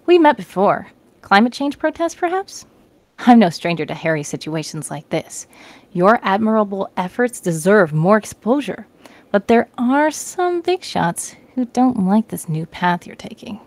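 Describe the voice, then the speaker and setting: A woman's voice: medium pitch, big pitch swings, unhurried, darkish tone. A woman voicing a shrewd, probing newspaper reporter in a read-aloud story.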